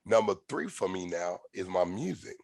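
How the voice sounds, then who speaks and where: Animated low-voiced male podcast host. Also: moderate pace, vocal fry. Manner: animated, profane storytelling with slang. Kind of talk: casual podcast conversation.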